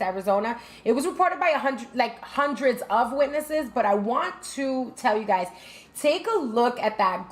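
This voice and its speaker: A woman from the United States hosts in a loose, chatty monologue, stopping often to correct herself mid-sentence. She asks her listeners rhetorical questions as she goes, and her pitch sits right in the middle for a woman. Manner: frequent fillers and self-corrections. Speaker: host. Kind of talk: casual talk-show monologue.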